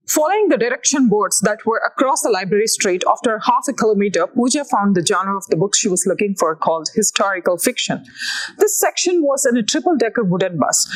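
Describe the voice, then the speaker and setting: A woman with an Indian accent reads her own novel aloud as scripted narration, setting out each phrase deliberately. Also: medium pitch, poor audio. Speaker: author reading own novel.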